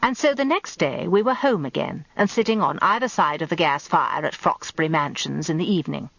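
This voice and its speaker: An actress playing an older English barrister's wife, a wry, observant storyteller recounting events in a radio drama. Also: female voice, sits low, expressive, a little fast, gravelly, fairly bright tone, no room sound, highs cut off, full character voice. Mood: contented.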